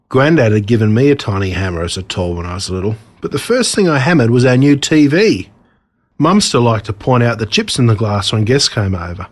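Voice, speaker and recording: An Australian man reads a children's story as a narrator, expressively and with dramatic pauses on key words. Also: mid-range voice. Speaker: author reading own story.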